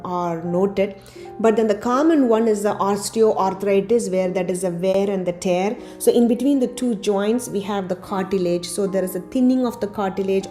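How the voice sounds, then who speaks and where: A woman doctor giving a health talk to her channel's viewers, her voice a little raspy after a cold, steadily listing points one by one. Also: medium pitch. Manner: steady explanatory delivery, lists items one by one. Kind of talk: informal health talk to camera. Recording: faint background music.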